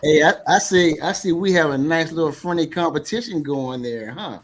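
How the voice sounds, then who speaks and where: A man's voice: on the high side, moderate pace. An American man hosting an interview show in a casual, conversational way. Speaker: show host. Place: video call.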